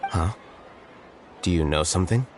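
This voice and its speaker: A man playing an aloof, rational scholar in a video game, speaking in a measured, precise, detached way. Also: deep voice, slight background noise. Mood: curious. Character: aloof, cerebral young male scholar, cool and rational.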